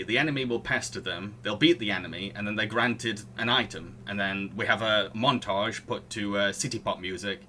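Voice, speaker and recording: A man's voice: lowish voice, bright, forceful tone, slight background noise. A man hosting a casual podcast discussion, often chuckling as he talks. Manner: chuckles often while talking. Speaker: podcast host.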